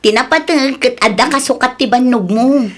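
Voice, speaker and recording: A woman's voice: high voice, moderate pace. An actress playing a caring, hopeful young woman in a radio drama, earnest and affectionate.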